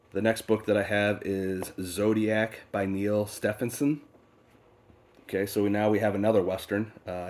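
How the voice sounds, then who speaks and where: A man's voice: on the low side, darkish tone. A man with a stuffy head cold, talking casually and unhurriedly through a pile of books, with many fillers. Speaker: book channel host. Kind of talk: casual unboxing talk. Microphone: off-camera voice, faceless video.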